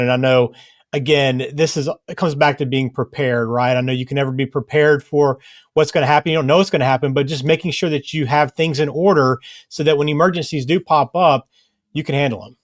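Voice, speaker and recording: A man's voice: medium pitch, no room sound, studio quality. A male podcast co-host who reads transitions and puts questions to his guest. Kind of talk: scripted podcast segues.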